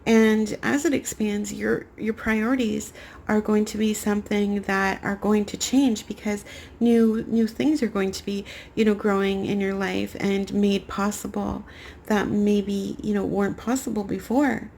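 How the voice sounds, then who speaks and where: Raspy young woman reading tarot cards. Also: medium pitch, flat delivery, unhurried, slight background noise. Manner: soft, meandering spiritual talk with many fillers. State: getting over a cold. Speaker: tarot card reader. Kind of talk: pick-a-card tarot reading to camera.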